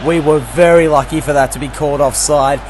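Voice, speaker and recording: A man with a croaky, worn voice gives a casual play-by-play recap of a video game football match. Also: on the high side, fairly even intonation, brisk, noisy background. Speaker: gaming commentator.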